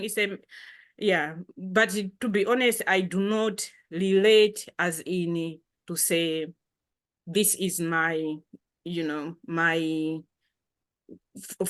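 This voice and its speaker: A woman from Malawi, whose first language is Chitumbuka, speaks at a panel conversation. She explains things at length in a measured, storytelling way. Her pitch sits in the middle. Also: fairly bright tone. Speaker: poet and former lecturer.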